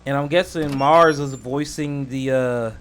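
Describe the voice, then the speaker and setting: A man's voice: medium pitch, steady tone, unhurried, fairly bright tone. A man doing casual reaction commentary as a host, slangy and joking, drifting into rambling asides.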